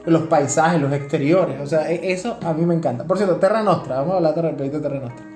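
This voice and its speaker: A man's voice: fairly high pitch, fast, a little mellow. A man with a Venezuelan accent co-hosting a podcast, explaining things in a casual, conversational way. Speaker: podcast co-host. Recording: roomy echo, faint music behind.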